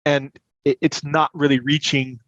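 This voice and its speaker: A man's voice: a little high, little pitch movement, normal speed, fairly bright tone. A man talking as an expert interview guest, explaining things earnestly in a conversational tone on muffled, roomy audio. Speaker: podcast guest, credit union compliance and recovery manager.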